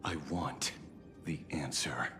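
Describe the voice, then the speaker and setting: A man's voice: rumbling low, slow. An actor playing a wry, dogged male detective in a video game, sardonic and defiant, with theatrical, pointed phrasing. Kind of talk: scripted video game voice acting. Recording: long reverb, music behind. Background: music.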